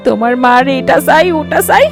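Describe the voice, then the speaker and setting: A woman's voice: high voice, lively intonation, moderate pace, creaky, faint music behind. A woman voicing a bossy, exasperated young wife in a cartoon, whiny, melodramatic and scolding. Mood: irritated nagging. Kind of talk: cartoon family drama acting.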